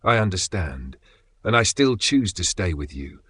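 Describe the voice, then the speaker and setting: A man reading a scripted devotional message in the voice of God, gentle and tender, often sinking to a near whisper. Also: sits low, very animated, measured pace, husky, dry recording.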